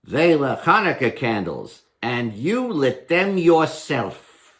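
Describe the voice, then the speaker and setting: Defiant storybook trickster played by an older man, mocking, dismissive, raised pitch, very animated swoops. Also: slow pace. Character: defiant, unafraid, teasing village trickster man.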